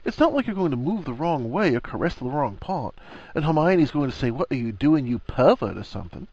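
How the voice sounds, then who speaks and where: Man acting a blunt, teasing young husband, animated. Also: medium pitch, brisk, no room sound, lo-fi sound, voice pushed up. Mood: cheeky teasing. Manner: blunt, cheeky, slangy.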